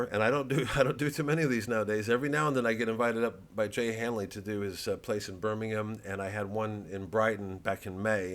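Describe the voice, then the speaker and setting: A man's voice: on the low side, fairly bright tone. A man chatting casually to camera to promote his show, nervous and rambling, self-mocking, with many restarts. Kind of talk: casual direct-to-camera chat.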